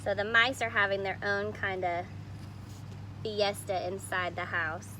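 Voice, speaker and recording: A woman's voice: mid-range voice, fairly even intonation, measured pace, noisy background. A woman reading a picture book aloud expressively, stopping to explain the illustrations simply, as if to young children. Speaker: storybook reader.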